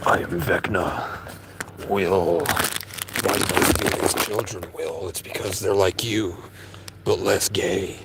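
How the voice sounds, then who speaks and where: Man doing a slow, low, ominous villain voice, parody-style; noisy background. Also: fairly even intonation, gravelly, full character voice. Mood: menacing. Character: menacing, brooding male villain, slow and grave. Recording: loud background noise.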